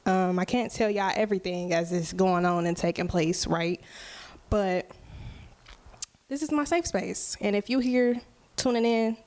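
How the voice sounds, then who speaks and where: A woman's voice: medium pitch, darkish tone. A woman in her twenties hosting a solo podcast, talking casually and openly to her listeners, often checking in with "right?". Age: 20s. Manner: slow, unhurried, conversational, often asking "right?". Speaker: podcast host and musician.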